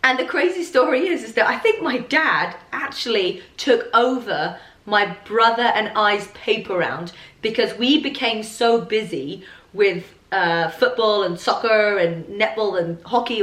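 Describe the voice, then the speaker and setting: A woman with a hoarse, husky voice from losing it, hosting a podcast in an upbeat, chatty way and coughing now and then. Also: medium pitch, fairly bright tone. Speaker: podcast host. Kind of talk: casual chatty podcast monologue. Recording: roomy echo.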